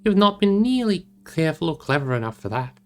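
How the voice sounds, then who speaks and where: A man's voice: fairly high pitch, big pitch swings, fast talker, darkish tone, voice pushed up. A man voicing a cheerful, frank young male hobbit with an eager, teasing manner in a storybook reading.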